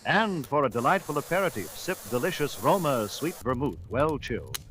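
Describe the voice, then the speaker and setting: A man's voice: mid-range voice, big pitch swings, moderate pace, a bit bright. An American man announcing on 1940s radio, reading scripted sponsor copy with a polished salesman's delivery on a hissy old recording.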